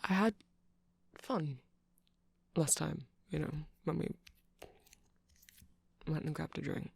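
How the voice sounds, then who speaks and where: A man playing a shy, nerdy vampire shop clerk in an ASMR roleplay, rambling gently and hesitantly to the listener. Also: fairly high pitch, slow pace, vocal fry, husky, no room sound, exaggerated voice. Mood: flustered, eager. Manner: hesitant, awkward, apologetic, stammering, gentle. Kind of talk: ASMR roleplay, soft casual monologue to listener.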